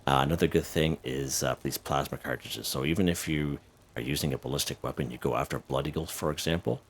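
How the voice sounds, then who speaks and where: A man with an extremely deep, low voice talks through a game guide in an easygoing, chatty way, his throat a little hoarse. He keeps checking in with the viewer, and there is a faint hum of noise behind him. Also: fast. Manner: chatty, checks in with "okay?" and "right?". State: slightly hoarse. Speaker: gaming video host. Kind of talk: casual game walkthrough.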